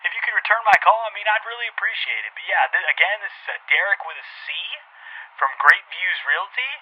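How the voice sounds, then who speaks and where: Casting: actor as a slangy, rambling realtor, slightly high voice, dull phone sound. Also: male voice, animated, fast, slightly rough. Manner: rambling, full of "like" and "uh". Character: young male realtor, laid-back and rambling.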